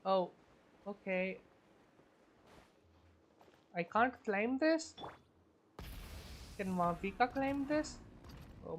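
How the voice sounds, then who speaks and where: A woman with a mid-pitched voice talks at a leisurely pace as she streams a game. Her commentary is casual and low-key, thought out loud, with faint game sound behind her. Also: a little hiss or hum. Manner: low-key, thinking aloud. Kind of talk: casual live gameplay commentary.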